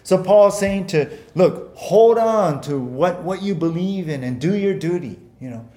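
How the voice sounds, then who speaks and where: A man's voice: sits high, expressive, unhurried, dark tone. A man preaching a sermon as pastor, earnest and conversational, often repeating words and using fillers, whose first language was Japanese.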